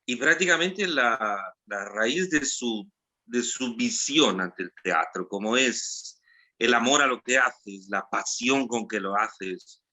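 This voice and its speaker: Bright, talkative Guatemalan man. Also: mid-range voice. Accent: Guatemalan Spanish. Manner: talkative, quick, self-deprecating. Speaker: panel guest, theater actor. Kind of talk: online panel discussion.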